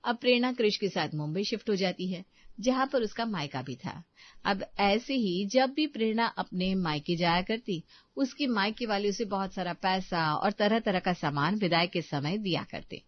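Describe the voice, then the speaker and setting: A woman's voice: medium pitch, animated, normal speed, dry recording, lo-fi sound. A woman storyteller reading scripted narration of a moral story.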